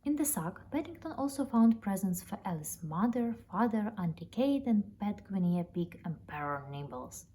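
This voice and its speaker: A woman reading a children's story aloud in an expressive storytelling style. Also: mid-range voice, normal speed, hardly any pauses. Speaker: storybook reader. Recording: noticeable background noise, ambience.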